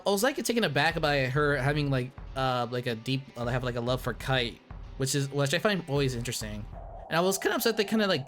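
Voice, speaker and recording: Casual young male host: slightly high voice, upbeat and rambling, lots of fillers. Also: a bit bright. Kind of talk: casual chat ranking anime couples.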